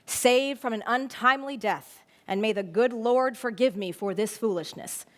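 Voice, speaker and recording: Storybook farmer dad, read by a woman: gentle but firm, measured delivery. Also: fairly high pitch, lightly acted. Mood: relenting.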